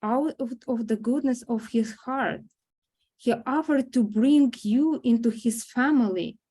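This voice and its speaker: A woman's voice: fairly high pitch, unhurried, dark tone, breathy edge. A woman playing a loving, apologetic grandmother at a drama table read. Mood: confessional, remorseful.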